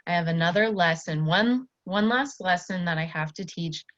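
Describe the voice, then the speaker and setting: A woman's voice: fairly low pitch, moderate pace, fairly bright tone. A woman, a student teacher giving an encouraging one-to-one lesson to a child. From Iowa, United States. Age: early 20s. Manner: encouraging, praising. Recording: dull, echoey lo-fi room recording.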